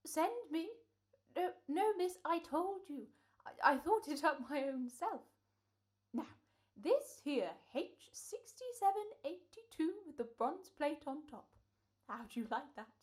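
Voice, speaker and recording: Woman playing a high, eccentric old salesman. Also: big pitch swings, a little slow. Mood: eager sales patter. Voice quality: hesitant, folksy phrasing. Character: eccentric, polite old gentleman peddling coffins. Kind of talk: old-time radio comedy-horror acting.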